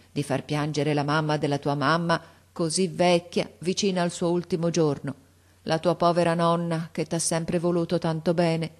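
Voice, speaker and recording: A woman's voice: darkish tone, close to an everyday voice. A woman voicing a frail, paralysed old grandmother who begs her grandson in a tearful, imploring way, her voice lifted above her own. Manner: tearful, imploring, raised pitch.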